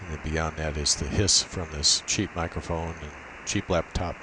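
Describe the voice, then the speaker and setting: A man giving an informal, halting technical demo, thinking aloud as he walks through his setup. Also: a bass voice. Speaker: amateur radio operator giving a tech demo. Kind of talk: informal screen demo walkthrough. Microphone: built-in laptop microphone. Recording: steady hiss from cheap laptop microphone.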